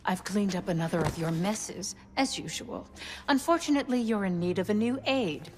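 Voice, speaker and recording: An actress playing an imperious, scheming politician's wife with a condescending, mock-polite manner in a video game. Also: female voice, mid-range voice, expressive, normal speed, a little mellow, natural voice. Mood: brisk, mock-polite. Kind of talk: cinematic video game acting.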